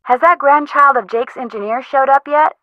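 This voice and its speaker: A woman plays a friendly, inquisitive young sleuth in a video game. Her voice is fairly high, with a slightly dull, dry sound. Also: normal speed, no echo, close to an everyday voice. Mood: curious, friendly. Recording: dull, band-limited sound.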